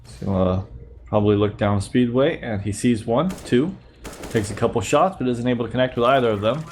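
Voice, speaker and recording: A man's voice: medium pitch, brisk, slight background noise. Slightly nasal man with a cold. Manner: relaxed play-by-play narration. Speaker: YouTube host reviewing gameplay. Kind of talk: casual gameplay commentary.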